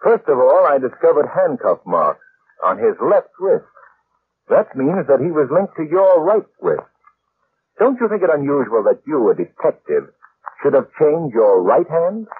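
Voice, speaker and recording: An actor playing a shrewd, assured gentleman sleuth in an old-time radio mystery, speaking politely and deliberately in formal phrases. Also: a little high, very animated, husky, heavily acted. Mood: calm, accusing. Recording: tinny, noisy old radio recording.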